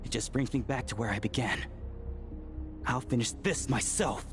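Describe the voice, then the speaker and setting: An actor playing an obsessive, defiant young experimenter in a video game, intense, self-assured and dramatic, heard in a cavernous space. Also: male voice, mid-range voice, moderate pace, soft background music, lightly acted. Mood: desperate, defiant.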